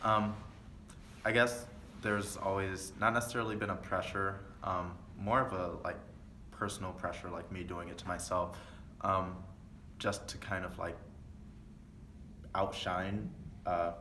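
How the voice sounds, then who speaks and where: Young male student interviewee, very low flat voice, bright tone, roomy echo. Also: unhurried, long pauses. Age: young adult. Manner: thoughtful, hesitant with many fillers. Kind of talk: casual interview answers. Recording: echoey room with faint noise.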